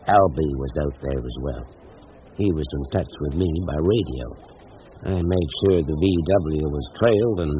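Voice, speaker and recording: A man's voice: sits low, creaky. An actor playing a shrewd, folksy older small-town man in a radio drama, sly and knowing. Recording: muffled, band-limited, faintly hissy radio recording.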